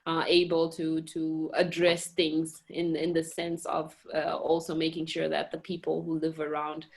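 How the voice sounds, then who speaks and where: Woman from Mozambique, lower register, halting interview answers full of fillers. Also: monotone. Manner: hesitant, many fillers and restarts. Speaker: communications director and girls education program manager at a national park.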